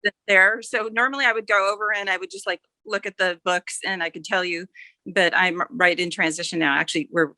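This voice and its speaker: A woman's voice: fairly low pitch, steady tone, brisk, bright, forceful tone, no echo, low-quality recording. A mature woman chatting casually as an author guest, rambling a little and correcting herself as she goes. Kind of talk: casual interview chat.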